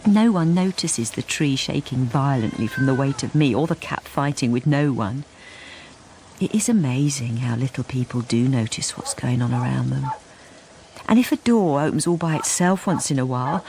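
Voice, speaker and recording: A lonely, invisible middle-aged wife who narrates her own radio drama. Her voice is low and creaky, dropping at times to a breathy near-whisper. She confides hesitantly, wistful and understated. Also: sing-song, moderate pace, darkish tone, slight background noise, full character voice. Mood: wry, subdued.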